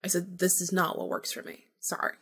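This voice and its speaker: A woman's voice: lowish voice, expressive, fairly quick, gravelly, a bit bright, husky. A woman podcast host telling a personal story casually, informally acting out remembered bits of dialogue.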